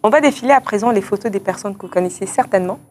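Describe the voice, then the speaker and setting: A woman hosting an interview, posing structured questions and briskly acknowledging answers. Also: mid-range voice, over loud music. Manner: brisk, affirming with short acknowledgements. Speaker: interviewer, show host.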